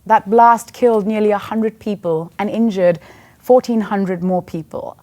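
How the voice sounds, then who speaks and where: A woman's voice: mid-range voice, measured pace, mellow. A woman in her thirties from Sri Lanka, telling a personal story in an earnest, conversational sermon. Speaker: church speaker.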